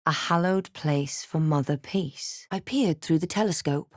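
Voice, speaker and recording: Wry halfling adventurer, dry close sound. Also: female voice, sits low, measured pace, dry recording. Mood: wry, watchful. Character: female halfling adventurer, wry, wary, snarky. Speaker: player character in a fantasy video game. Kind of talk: scripted video game voice lines and narration.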